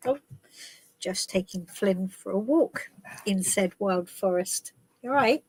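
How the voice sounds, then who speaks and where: A woman's voice: medium pitch, takes it slowly, noisy background. A woman with a head cold giving chatty, rambling tarot readings on a live stream while travelling. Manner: chatty and rambling, with many ums and restarts. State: has a head cold, stuffy and coughing. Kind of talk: casual live-stream chat and card reading.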